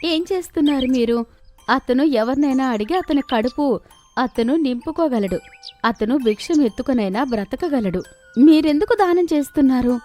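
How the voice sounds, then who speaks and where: A woman's voice: high voice, lively intonation, normal speed, mellow, faint music behind, pitched above the performer's own voice. A woman voicing a stingy, irritable wife who scolds sharply in a cartoon story. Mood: scolding.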